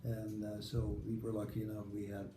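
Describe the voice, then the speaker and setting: A man in his eighties from the Netherlands with a Dutch accent, recalling his life in a casual family interview, halting with many fillers. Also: lowish voice, monotone, roomy sound, noisy background. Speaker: grandfather being interviewed.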